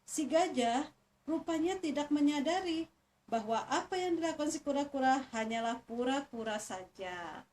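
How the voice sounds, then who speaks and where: A woman storyteller narrating a children's fable in an expressive style. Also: sits high, normal speed. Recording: distant mic, faint background noise.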